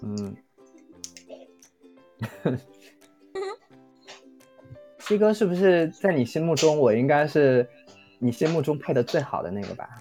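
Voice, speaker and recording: A man with a very animated, swinging intonation trades playful, teasing banter as a guest on a casual show. Faint music sits behind him. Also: a little high, unhurried, pauses often. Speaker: voice actor guest.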